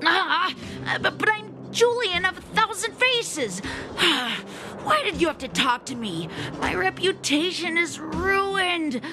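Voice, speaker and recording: A woman's voice: very high-pitched, sing-song, slow, few pauses, background noise, full character voice. A woman playing a sheepish, melodramatic young boy in a video game, hesitant and sighing as he talks. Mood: dramatic dismay. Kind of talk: video game cutscene acting. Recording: game music behind the voice. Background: game music.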